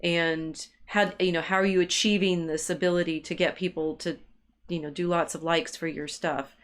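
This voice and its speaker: A woman co-hosting an intellectual discussion, thinking aloud in a halting way and repeating words as she searches for phrasing. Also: fairly low pitch, steady tone. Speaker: co-host of a discussion stream.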